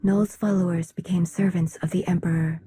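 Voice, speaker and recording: An actress playing a solemn, reserved alien woman in a video game, speaking in a measured, formal way. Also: fairly low pitch, fairly even intonation, dark tone, slightly rough, dry recording. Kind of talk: video game cutscene dialogue.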